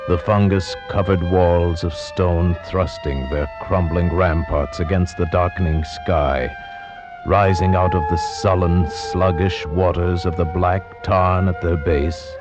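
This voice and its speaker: An actor narrating as a grave gentleman recalling a dreadful visit, in 1940s radio drama style. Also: sits low. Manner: solemn, measured storytelling. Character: grave gentleman, friend of a doomed man. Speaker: narrator in character. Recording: muffled old radio with music underneath.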